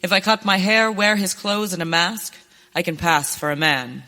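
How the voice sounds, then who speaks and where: A woman's voice: fairly low pitch, moderate pace, sharp tone. A woman playing a determined young rancher turned masked avenger in a western radio play, speaking earnestly and theatrically. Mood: resolute. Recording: reverberant hall, stage performance.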